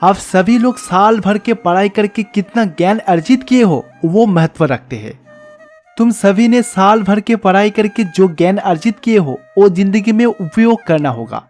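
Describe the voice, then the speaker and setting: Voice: medium pitch, lively intonation, moderate pace, darkish tone, natural voice. An actor plays a kindly divine teacher in disguise from a devotional storybook tale. He speaks patiently, advising and encouraging children, with faint music behind him. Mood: calm, reassuring.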